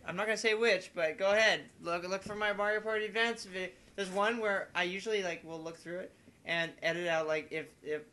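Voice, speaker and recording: A young man with a notably high, bright and forceful voice, talking as a podcast host among friends. He rambles casually and excitably, giggling through his stories and slipping into acted-out quotes. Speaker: podcast host and video maker.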